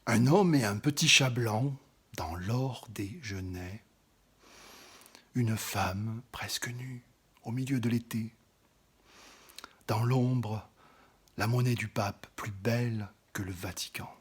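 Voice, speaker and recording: A man giving a slow, dramatic poetry recitation, often dropping into an intimate whisper and swelling louder on some phrases. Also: fairly low pitch, husky.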